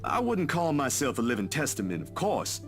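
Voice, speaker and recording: A man's voice: mid-range voice, huge pitch swings, moderate pace, faint music behind. An actor playing an easygoing, teasing young male photographer in a visual novel, talking casually and reassuringly to a friend.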